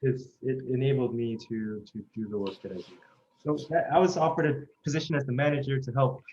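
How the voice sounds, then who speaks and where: A man's voice: mid-range voice, fairly even intonation, a little fast, a little mellow. A man in his thirties from South Korea, telling his story earnestly and steadily in an oral history interview. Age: 30s. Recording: muffled lo-fi recording.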